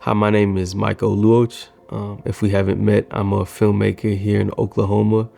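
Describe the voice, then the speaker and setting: Low gravelly-voiced man, flat and reflective. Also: moderate pace, soft background music. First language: Swahili. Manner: thoughtful, candid self-critique. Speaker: filmmaker. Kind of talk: casual reflective vlog.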